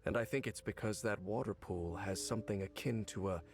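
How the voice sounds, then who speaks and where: An actor playing a stoic, world-weary man cursed for centuries in a video game, speaking in a measured, formal, grave way. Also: lowish voice, lightly acted. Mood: grave, calm. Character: weary, stoic, five-hundred-year-old cursed man. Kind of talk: scripted video game voice acting. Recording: game audio with music underneath. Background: game music.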